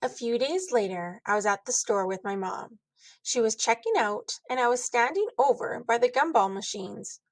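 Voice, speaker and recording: A woman reading a children's storybook aloud as an expressive narrator. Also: on the high side, normal speed, no room sound. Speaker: storybook reader.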